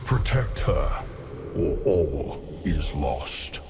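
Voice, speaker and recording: A man playing an ancient, solemn immortal lord of death in a video game, speaking in a grave, portentous, measured manner. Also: a bass voice, sing-song, noisy background, heavily acted. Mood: grave foreboding. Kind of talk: video game cinematic acting.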